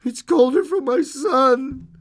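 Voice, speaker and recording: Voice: sits high, slow, pitched above the performer's own voice. A storyteller voicing a grieving, hysterical old wife in a dramatic story reading, desperate and feverish. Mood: sobbing grief. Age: older.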